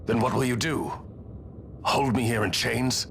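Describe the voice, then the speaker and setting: An actor playing a solemn, resolute disgraced soldier in a video game, speaking gravely and formally. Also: male voice, medium pitch, measured pace, fairly bright tone, a little hiss or hum. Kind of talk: video game drama acting.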